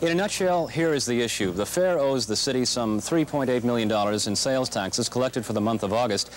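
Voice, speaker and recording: A man's voice: medium pitch, fairly quick, slight background noise, poor audio. A man reporting live for television news from a council chamber in a matter-of-fact way. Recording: crowd chatter behind, local TV broadcast. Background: crowd murmur.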